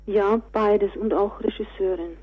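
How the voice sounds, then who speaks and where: A woman's voice: mid-range voice, steady tone, measured pace, slightly rough, narrow, tinny audio. A woman answering interview questions conversationally as an author and director, on a thin radio recording.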